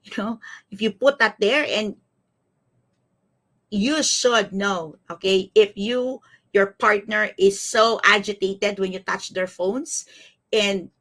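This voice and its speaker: A woman's voice: medium pitch, fairly bright tone. A woman in her fifties with a Filipino accent, giving a motivational live-streamed coaching talk, repeating phrases and often checking in with her listeners. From Philippines. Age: 50s. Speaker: life coach, host of a live series.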